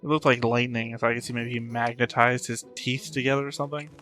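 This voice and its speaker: A man's voice: medium pitch, fairly even intonation, quick pace, pushed and bright, soft background music. A man giving casual reaction commentary, musing and thinking aloud as he hosts. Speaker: reaction show host.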